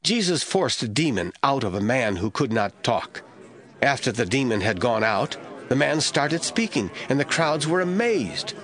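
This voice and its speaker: A man's voice: medium pitch, sing-song, moderate pace, a bit bright. A man giving a scripted, dramatic narration of scripture as the narrator of an audio drama.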